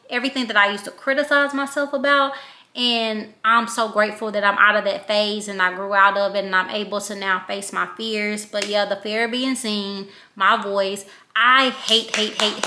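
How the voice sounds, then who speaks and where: A woman's voice: mid-range voice, bright, forceful tone. A woman in her thirties from Louisiana with a country Southern accent, chatting reflectively in a casual vlog with plenty of ums. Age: 30s. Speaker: vlogger.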